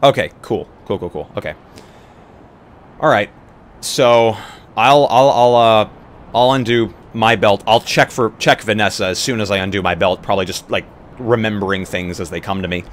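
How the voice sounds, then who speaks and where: A man's voice: fairly low pitch, moderate pace. A man taking part in a tabletop roleplay as a player, casually thinking aloud and reacting in short bursts. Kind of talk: casual improvised roleplay chat.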